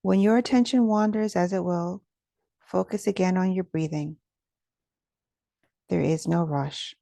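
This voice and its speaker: A woman leading a guided meditation as its teacher, speaking in a gentle, soothing, instructional manner with soft pauses. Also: fairly low pitch, steady tone, gravelly, no room sound.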